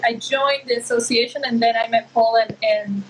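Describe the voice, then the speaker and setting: A woman's voice: a little high, fairly even intonation, moderate pace, poor audio. A woman from Argentina, speaking English as an on a casual live stream, hesitant and searching for words. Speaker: co-host.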